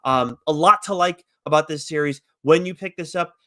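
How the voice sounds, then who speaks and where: A man's voice: fairly high pitch, normal speed, a bit bright, dry recording, clean studio sound. A man co-hosting a podcast, chatting casually about comics in a rambling, enthusiastic, loosely profane way. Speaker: podcast co-host reviewing comics. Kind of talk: casual chat review.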